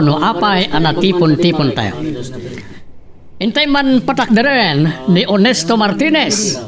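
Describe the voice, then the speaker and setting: A performer playing a parent in an Ilocano romantic family audio drama. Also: medium pitch. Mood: formal, festive. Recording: noticeable background noise.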